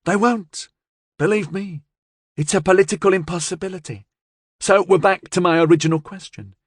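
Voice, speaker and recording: A man's voice: sits high, fairly even intonation, moderate pace, no room sound, studio quality, voice pushed up. A man voicing a cool, confident gangster's woman in an audiobook, speaking in a firm, assured, coaxing way. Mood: persuasive, assured.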